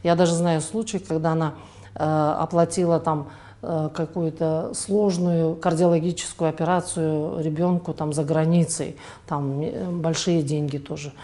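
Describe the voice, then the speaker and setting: A middle-aged woman, a fertility doctor, answering interview questions in an unhurried way with frequent hesitations. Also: low-pitched, flat delivery, warm tone. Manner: unhurried, many hesitation sounds.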